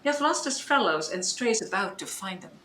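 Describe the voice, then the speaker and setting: An actress playing a commanding, scheming sorceress mother with stern, deliberate delivery in a Shakespearean audio drama. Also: medium pitch, expressive, pushed and bright. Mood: stern, knowing. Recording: echoey room, remote recording.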